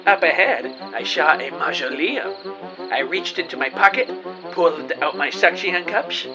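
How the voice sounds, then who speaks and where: An actor playing an earnest, excitable, bumbling amateur detective, narrating his case melodramatically in an audio drama. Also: high voice, moderate pace, vocal fry, music in the background. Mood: excited. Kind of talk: scripted first-person audio drama narration.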